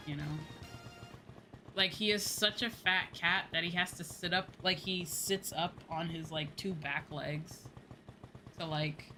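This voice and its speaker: Music plays clearly behind a woman with a fairly low-set voice. She talks like a livestreamer in the middle of a game, casual and informal. Her speech rambles into asides and is peppered with swearing. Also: bright, forceful tone. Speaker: livestreamer, VTuber host. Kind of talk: casual chatty gaming stream talk.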